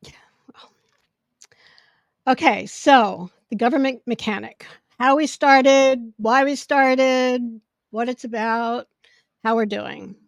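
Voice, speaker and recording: Woman podcast host, fairly high voice, loud and projecting, dry close sound. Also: slow pace, vocal fry, pauses often, dry recording. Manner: loud, projecting, gets excited. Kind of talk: casual podcast chat. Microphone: podcast microphone.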